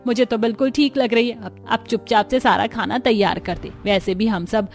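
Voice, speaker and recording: A woman's voice: on the high side, steady tone, moderate pace, soft background music, close to an everyday voice. A woman playing an older mother-in-law, the commanding head of the household in a cartoon family story. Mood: bossy, dismissive. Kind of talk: Hindi cartoon story acting.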